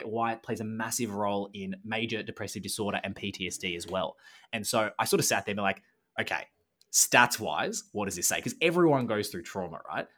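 A man's voice: mid-range voice, fairly bright tone, breathy edge. A man, co-hosting a casual science podcast in a chatty, enthusiastic way. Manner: chatty and enthusiastic, calls his partner mate. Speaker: podcast co-host.

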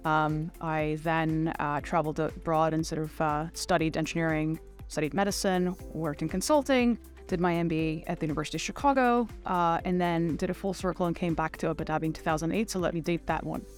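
Low-pitched middle-aged woman, interview guest. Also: fairly quick, hardly any pauses, faint music behind. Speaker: interview guest, finance executive. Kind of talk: conversational interview answers.

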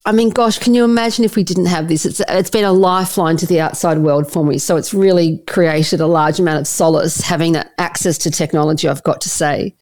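Woman, husky, earnest, thoughtful, low voice, remote call, dry sound. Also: fairly even intonation, fairly quick, few pauses, a little mellow, no echo. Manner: earnest, talks in long thoughtful sentences with restarts. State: husky, recovering from illness. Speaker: interview guest, property editor.

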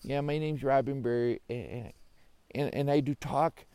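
A friendly little storybook robin, played by a man, talks quietly and shyly. The delivery is hesitant and gently halting, with a mellow tone. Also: medium pitch, clear, resonant tone, close to an everyday voice. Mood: shy, earnest. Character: small, shy, friendly male talking robin. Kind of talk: children's storytelling.

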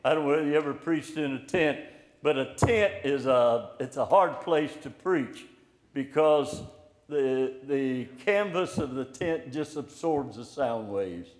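Slow-spoken older preacher in echoey hall. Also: male voice, mid-range voice, a little mellow. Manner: slow, plainspoken, encouraging. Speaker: pastor. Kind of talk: informal sermon. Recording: echoey room, hall reverb.

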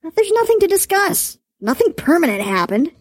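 A woman's voice: very high-pitched, big pitch swings, normal speed, no room sound, studio quality, voice pushed up. A woman voicing a young male dragon, defensive and wheedling. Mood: pleading. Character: young adolescent male dragon, sulky and pleading. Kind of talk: audiobook character voice.

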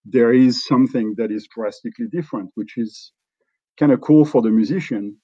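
A middle-aged man from France with a French accent, talking in a relaxed interview and often restarting his sentences. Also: mid-range voice, no room sound, muffled recording. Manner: halting, many restarts and fillers. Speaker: company executive guest.

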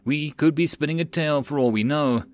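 A man voicing a measured intelligence officer in an audiobook, giving a formal, explanatory report. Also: a little high, no echo, pitched above the performer's own voice, lightly acted.

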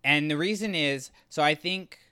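A man's voice: a little high, moderate pace, pushed and bright, clear, resonant tone, no echo, clean studio sound. A man co-hosting a podcast, giving enthusiastic, conversational opinions in a casual review discussion. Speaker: podcast co-host.

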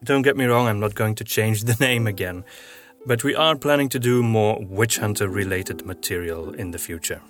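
A man hosting a podcast, explaining news and projects to listeners in a conversational way. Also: mid-range voice. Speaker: podcast host and audio drama writer.

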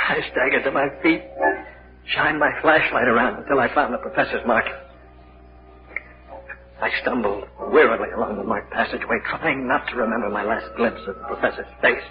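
A man's voice: medium pitch, close to an everyday voice. Man acting a frightened cave explorer, muffled old radio. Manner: dramatic, halting when afraid. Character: frightened young male cave explorer recounting his ordeal.

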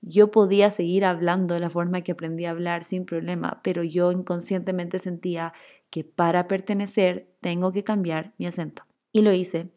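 Mellow-voiced Chilean-born woman with Guayaquil accent. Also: lowish voice, little pitch movement, normal speed. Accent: Guayaquil Spanish with Chilean traces. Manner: chatty, heavy use of "como que" and "o sea". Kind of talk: personal storytelling monologue.

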